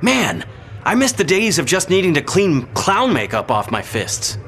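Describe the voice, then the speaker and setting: An actor playing a young, hot-headed vigilante hero, sardonic and quick-witted. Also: male voice, a little high, wildly swooping pitch, a little hiss or hum, heavily acted. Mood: wry concern. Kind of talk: video game hero acting. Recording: loud music underneath.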